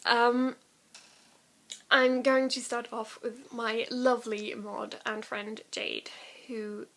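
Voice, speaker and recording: Young female vlogger, giggly and self-effacing, slow casual delivery on the higher side. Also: a bit bright. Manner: giggly, self-deprecating, informal. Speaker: amateur vlogger. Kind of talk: casual rambling chat to camera.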